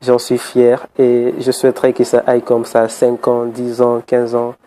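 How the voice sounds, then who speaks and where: Man, monotone, mellow; earnest, measured voice note. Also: mid-range voice, slight background noise. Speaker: group member. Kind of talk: formal voice message.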